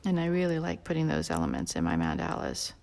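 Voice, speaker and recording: A woman leading a live drawing tutorial in a relaxed, rambling way with many fillers, sometimes dropping to a soft near-whisper as she works. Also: deep voice, fast, vocal fry. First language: not Portuguese. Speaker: drawing instructor.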